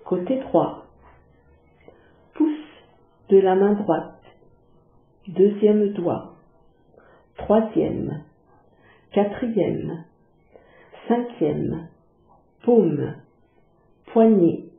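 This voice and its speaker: A woman leading a guided yoga relaxation, giving calm, measured instructions with long pauses. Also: medium pitch, creaky. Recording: muffled phone-like sound, echoey, music behind.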